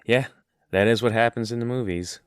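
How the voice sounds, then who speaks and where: A man's voice: mid-range voice, a little fast, smooth, dry recording, clean studio sound, close to an everyday voice. A man playing a jittery, wry, enthusiastic teenage boy in an audio drama.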